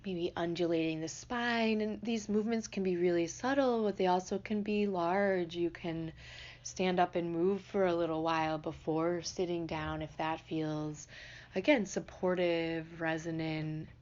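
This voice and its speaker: A woman leading a guided meditation in a gentle, unhurried, soothing manner. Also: on the low side, fairly even intonation, muffled recording. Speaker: meditation teacher.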